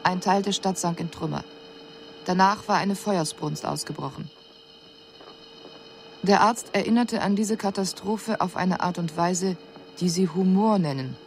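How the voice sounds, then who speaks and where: A female alien observer in a radio drama, with a lowish voice. She reports formally and with detachment over faint background noise. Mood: clinical. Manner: measured, detached. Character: analytical alien envoy reporting on puzzling humans.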